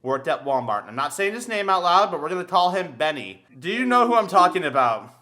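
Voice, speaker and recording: A young man with a high, bright, forceful voice hosts a casual comedy podcast. He swears freely and tells stories theatrically, doubling back on words as he builds to a point. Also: big pitch swings, a little fast. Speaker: podcast host. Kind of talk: casual comedic storytelling chat.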